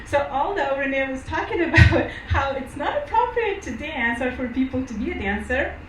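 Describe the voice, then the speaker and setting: A woman's voice: high-pitched, animated, normal speed. A woman from Uzbekistan giving a presentation at a university event, with phrasing and an occasional laugh. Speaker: guest speaker. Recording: echoey room from far off, noisy.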